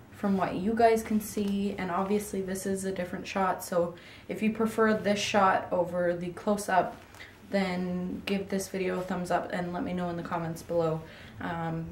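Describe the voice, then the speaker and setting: A woman's voice: on the low side, a little mellow, a little hiss or hum. Sore-throated young woman, echoey room. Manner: chatty, with frequent ums. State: raw, sore throat from a cold. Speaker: vlogger. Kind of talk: casual pregnancy update vlog. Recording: echoey room, mic at a distance.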